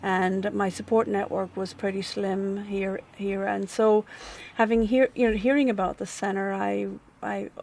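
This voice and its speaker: A middle-aged woman with an Irish accent, answering interview questions about a grief center in a thoughtful, measured way, often pausing with um. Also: mid-range voice, little pitch movement, vocal fry, darkish tone, slight background noise. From Ireland. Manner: thoughtful, measured, with frequent um and restarts. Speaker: community manager at a grief center.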